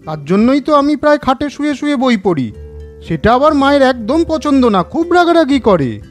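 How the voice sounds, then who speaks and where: A woman's voice: sits high, sing-song, normal speed, soft background music. A woman voicing a young schoolboy, a little brother who grumbles and pleads in a children's cartoon. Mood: grumbling, coaxing.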